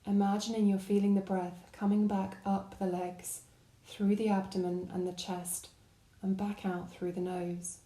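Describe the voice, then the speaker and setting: A woman leads a guided meditation in a dark, mellow voice. Her phrasing is gentle and calm, offering unhurried instructions. The recording is roomy, with audible reflections around her voice. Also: medium pitch. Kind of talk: guided body scan meditation narration.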